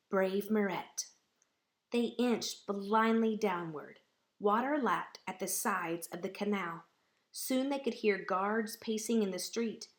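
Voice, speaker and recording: A woman storyteller reads a children's picture book aloud at a very unhurried pace. Her phrasing is deliberate and dramatic, and her voice sits at a middling pitch.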